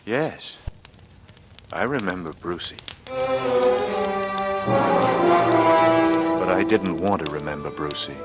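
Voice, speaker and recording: Deep-voiced brooding noir man. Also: lively intonation. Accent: American. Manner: intense, measured, clipped confessional delivery. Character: brooding, calculating male writer with a smooth charm. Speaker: lead actor and narrator. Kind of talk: 1940s radio noir acting, first-person narration. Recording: vintage radio drama, music underneath. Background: dramatic music.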